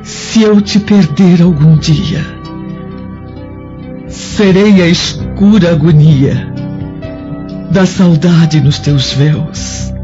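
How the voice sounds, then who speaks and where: An actress playing a gentle, devoted Roman senator's wife in a radio drama, with tender, reverent, drawn-out delivery. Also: female voice, on the low side. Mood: tender devotion. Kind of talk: radio drama acting, slow solemn recitation. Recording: dull lo-fi, clear music behind.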